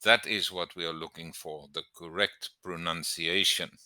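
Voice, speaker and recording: A man speaking as an English teacher giving exam tips in an emphatic, deliberate instructional style, stressing key words. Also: sits low, steady tone, sharp tone, no room sound, clean studio sound. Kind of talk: instructional lesson to camera.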